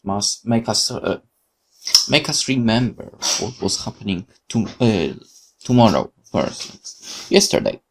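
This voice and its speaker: A man whose first language is Polish, hosting a let's play and reading a horror visual novel aloud with lively asides and self-corrections. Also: fairly low pitch, vocal fry, a little hiss or hum.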